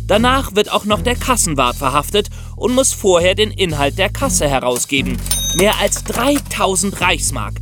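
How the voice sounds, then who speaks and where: High-voiced, lively male podcast narrator. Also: normal speed, over music. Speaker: podcast co-host. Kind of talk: scripted story narration with light chat.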